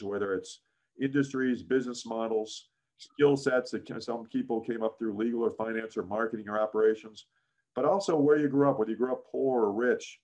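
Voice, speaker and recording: A man in his sixties with a deep voice, a guest in a casual interview, telling career stories with many "frankly"s and "uh"s. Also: lo-fi sound. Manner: frequent "frankly" and "uh", animated anecdotes. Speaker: interview guest, business founder.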